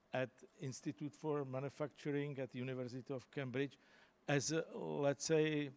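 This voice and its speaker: A man hosting in a large hall gives the formal introduction through a handheld mic. His voice is mid-pitched. He speaks haltingly, filling the gaps with uhs as he searches for words. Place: large lecture hall, indoors. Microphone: handheld microphone.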